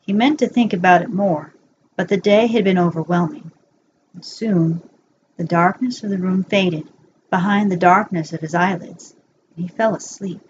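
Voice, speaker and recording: A woman's voice: fairly low pitch, normal speed. Woman reading a bedtime story, slightly muffled recording. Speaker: amateur narrator reading fan fiction aloud. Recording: dull lo-fi home recording, faint noise.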